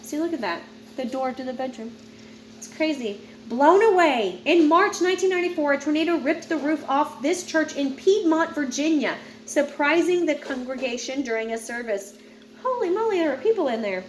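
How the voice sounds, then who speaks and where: A woman's voice: sits high, animated, normal speed, a little hiss or hum, rough recording. A woman reading a nonfiction book aloud, informally, with casual asides and stumbles she corrects herself. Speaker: read-aloud presenter.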